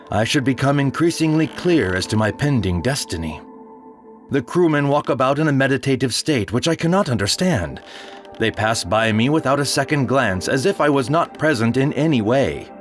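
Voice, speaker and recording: Male narrator, mid pitch, solemn measured storytelling, clear music bed under the voice. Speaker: narrator of an audio drama. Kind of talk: one-man dramatic reading of a period tale.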